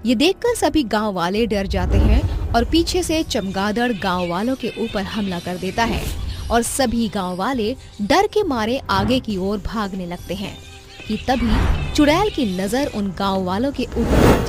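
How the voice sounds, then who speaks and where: A woman's voice: mid-range voice, expressive, a little slow. A woman reading a scripted story as its narrator, with music behind her. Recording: loud music under the voice. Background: background music.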